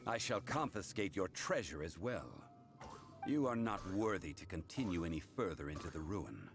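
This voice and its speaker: An actor voicing a calm, formal man who upholds contracts, speaking slowly and with measured authority. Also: deep voice. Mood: stern. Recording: played game audio with faint music.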